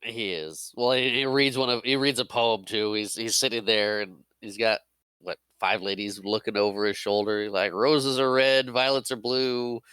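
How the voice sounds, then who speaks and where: A man's voice: fairly low pitch, fairly even intonation, moderate pace, pushed and bright, clean tone, dry recording. A man co-hosting a podcast in casual chat about a film, often laughing and chiming in with short agreements. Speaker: podcast co-host.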